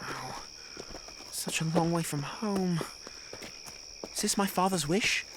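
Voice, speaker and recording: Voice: mid-range voice, slow, close to an everyday voice. An actor playing a haughty, weary young royal boy in an audio drama, with formal, precious phrasing. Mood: puzzled, weary. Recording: clearly noisy track with outdoor ambience.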